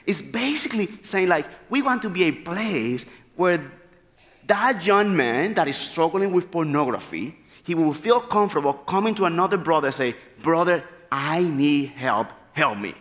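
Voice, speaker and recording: A man delivers an earnest, animated sermon from the pulpit. His voice sits slightly high for a man. The sound carries a long reverb from the large church hall. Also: moderate pace. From Dominican Republic. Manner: animated, gesturing freely, earnest storytelling. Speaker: preacher. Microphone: pulpit mic.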